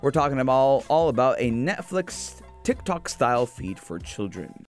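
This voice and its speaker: A man co-hosting a radio show, chatting in a casual, animated, self-mocking way. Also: medium pitch, moderate pace, music in the background. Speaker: radio co-host.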